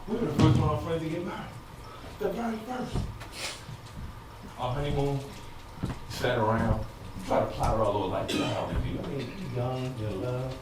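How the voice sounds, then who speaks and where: A man's voice: medium pitch, animated, moderate pace, long pauses, lightly acted. Echoey, anguished husband played by a man onstage. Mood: wistful, regretful. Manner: emotional, swinging from quiet confiding to loud outbursts. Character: anguished, frustrated husband struggling with infertility. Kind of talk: theatrical stage monologue. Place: stage in a large indoor room. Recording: echoey stage, distant mic, noisy.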